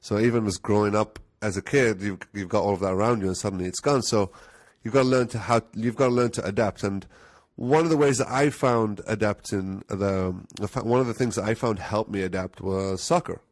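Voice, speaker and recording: Lowish-voiced man from Algeria, relaxed. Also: a little fast, dry recording. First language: Arabic. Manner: relaxed, explanatory, with fillers. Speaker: guest, marketing analytics head. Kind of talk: podcast interview.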